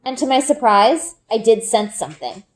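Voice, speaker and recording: A woman reading a children's book aloud like a teacher, with expressive storytelling emphasis and dramatic pauses. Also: a little high.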